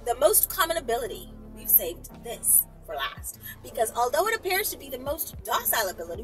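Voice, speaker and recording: A woman's voice: high-pitched, fairly bright tone, far from the mic, low-quality recording. A woman reading a story aloud in a dramatic style, with playful, teasing asides to her listeners. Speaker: storyteller, channel host.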